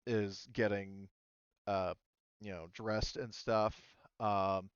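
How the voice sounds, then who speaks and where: Guy game mastering a tabletop rpg, smooth clean voice, pauses a lot as he makes it up, bit lo-fi. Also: on the low side, flat delivery, dry recording. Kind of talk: narrating a tabletop roleplaying game, improvised.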